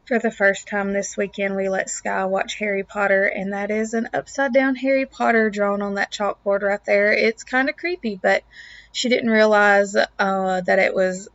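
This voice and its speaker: A young woman with a raspy voice and a lingering cough, talking in an upbeat, earnest way as a casual vlog voiceover about home and family. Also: medium pitch, steady tone, normal speed, fairly bright tone, no room sound, highs cut off. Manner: upbeat, earnest, faith-filled. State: lingering cough, throat clearing. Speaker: lifestyle vlogger, mom of two.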